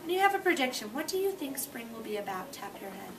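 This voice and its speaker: A woman's voice: a little high, big pitch swings, fast. A woman teaching young children through an interactive read-aloud, prompting them with questions in a classroom. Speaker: elementary school teacher. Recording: echoey noisy classroom, far from mic.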